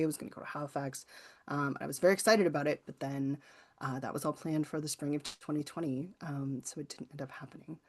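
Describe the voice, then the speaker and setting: A woman presenting as a guest author, answering workshop questions in a thoughtful, tentative, conversational way. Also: low-pitched. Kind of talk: informal workshop Q&A.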